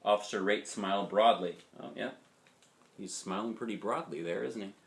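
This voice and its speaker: A man's voice: fairly low pitch, normal speed, vocal fry. A man reading a children's story aloud as a dad would, expressively, with chatty asides to young listeners. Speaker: father reading a picture book aloud. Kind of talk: storybook narration with casual asides.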